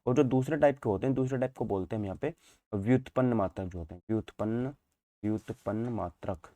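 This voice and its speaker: A man's voice: lowish voice, quick pace, warm tone, dry recording. A man giving an informal online lecture as a teacher, repeating points and asking questions that he answers himself. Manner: repetitive, asks and answers own questions, frequent check-in tags. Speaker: physics teacher. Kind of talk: informal online exam-prep lecture in Hindi mixed with English terms.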